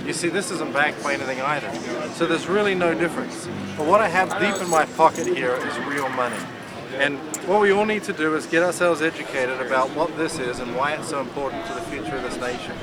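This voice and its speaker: A man's voice: a little high, fairly quick. A man with a New Zealand accent giving an earnest, explanatory campaign speech outdoors, handling props as he talks. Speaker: political campaigner speaking to camera. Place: outdoors at a busy event. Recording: loud crowd noise behind.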